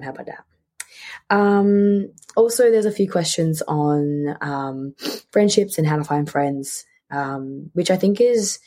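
A woman's voice: deep voice, warm tone. A woman in her twenties with an Australian accent, chatting casually and candidly as a solo podcast host, often repeating words for emphasis. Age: 20s.

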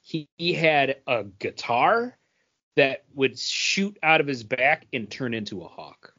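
Animated, slangy man with lively pitch. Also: takes it slowly, muffled recording. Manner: animated, slangy, acts out quotes. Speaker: podcast co-host. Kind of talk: casual chat, nostalgic anecdotes.

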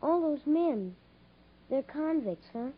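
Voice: high-pitched, lively intonation, slow. A performer playing an uneasy school-age boy, terse and questioning, in a 1950s radio drama. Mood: puzzled, uneasy. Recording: muffled, lightly hissy 1950s radio recording.

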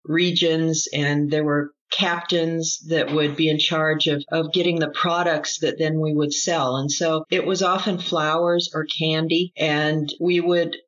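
A woman interview guest giving reflective testimony in an earnest, deliberate way, building her points through repetition. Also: sits low, flat delivery, fairly bright tone. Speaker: interview guest, former group member recounting experience. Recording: dull lo-fi audio.

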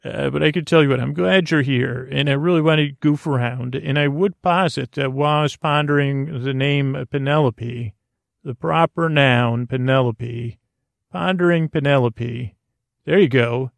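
A man hosting a sleep podcast in a meandering, halting monologue, whimsical and self-deprecating, stammering and circling back on his words. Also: mid-range voice, creaky, dry recording. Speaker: host of a bedtime story podcast.

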